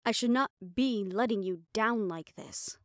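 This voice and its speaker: A woman's voice: fairly high pitch, measured pace, no echo, clean studio sound, lightly acted. A woman playing a mafia boss's proud, frustrated personal assistant in an intimate roleplay audio drama, with an exasperated, self-reproachful manner. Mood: self-blaming, frustrated.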